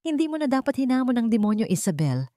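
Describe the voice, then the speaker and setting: A woman performs a vengeful older villainess from a radio drama. She sneers and taunts, savoring her words with deliberate menace. The sound is dry and close. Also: on the high side, big pitch swings, mellow, no room sound, pitched above the performer's own voice. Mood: cold menace. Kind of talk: Tagalog radio drama storytelling.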